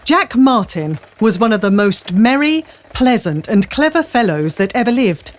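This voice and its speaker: A woman's voice: fairly high pitch, very animated, normal speed. A woman reading scripted story narration as the narrator of an audio drama.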